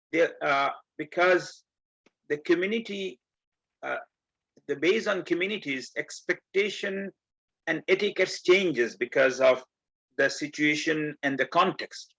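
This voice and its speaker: A man from Bangladesh gives an earnest community talk, explaining step by step with frequent pauses. His gravelly voice sounds somewhat husky. The audio is muffled, as on a video call. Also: on the high side, fairly bright tone. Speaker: guest speaker. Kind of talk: community presentation.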